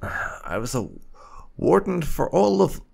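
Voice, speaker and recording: A man hosting a roleplay let's play, commenting in a theatrical, wry, drawn-out way. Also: on the high side, very animated, vocal fry, a little mellow, husky. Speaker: gaming channel host. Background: faint music.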